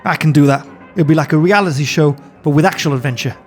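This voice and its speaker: A man voicing an excitable young helicopter pilot who talks eagerly and loudly. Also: fairly high pitch, fast, soft background music, close to an everyday voice. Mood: excited. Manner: boisterous, eager, shouting with excitement.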